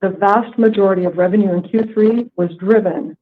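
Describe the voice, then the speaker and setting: A woman executive delivers scripted earnings remarks in a formal, measured manner over a conference call line. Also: mid-range voice, steady tone. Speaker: company executive presenting quarterly earnings. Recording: tinny, narrow phone-line conference call.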